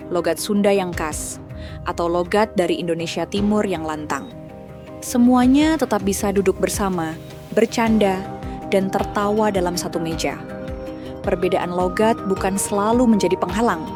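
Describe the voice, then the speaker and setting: A woman reading a scripted documentary narration in a calm, measured way. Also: fairly low pitch. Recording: music bed underneath.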